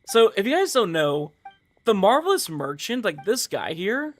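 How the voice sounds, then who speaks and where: Higher-voiced man chatting on stream. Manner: rambling, halting, animated emphasis. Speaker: game livestreamer. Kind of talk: casual livestream chat over gameplay.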